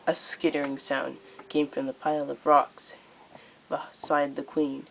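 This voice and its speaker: A teenage girl reading a story aloud as an amateur narrator, expressive but a little halting. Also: low voice, vocal fry. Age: teens. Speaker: amateur audiobook reader. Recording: noticeable background noise.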